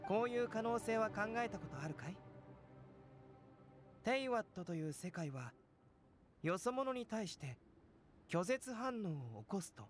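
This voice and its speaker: Composed young male alchemist, lighter high register, measured scholarly delivery for a fantasy game. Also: big pitch swings, faint music behind. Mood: calm, explanatory. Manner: measured, composed, philosophical explaining. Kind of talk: Japanese anime game voice acting.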